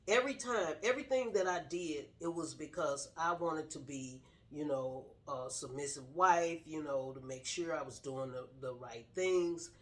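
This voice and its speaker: A middle-aged woman sharing her personal story casually in an earnest, straightforward way. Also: low voice. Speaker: guest telling personal story, wife of the host.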